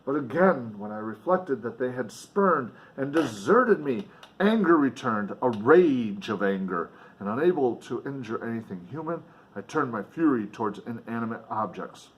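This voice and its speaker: A man's voice: medium pitch, animated, normal speed, low-quality recording. A man reading a novel aloud in a dramatic, emphatic way, with casual offhand commentary along the way. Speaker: reader and commentator.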